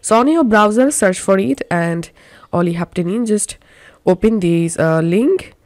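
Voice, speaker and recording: A woman presents a software tutorial step by step. She speaks slowly and deliberately, giving plain instructions. The sound is close and dry. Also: mid-range voice, lively intonation, no room sound.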